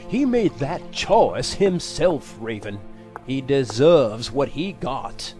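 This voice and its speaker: A man's voice: mid-range voice, very animated, drawn-out words, darkish tone, soft background music. A man playing an insistent, controlling husband who schemes, in an audio drama. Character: TJ, defensive scheming husband covering up a death.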